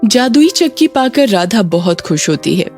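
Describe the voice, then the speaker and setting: A woman's voice: mid-range voice, very animated, normal speed, a little mellow. A woman narrating a scripted children's story as a storyteller. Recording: music bed under narration.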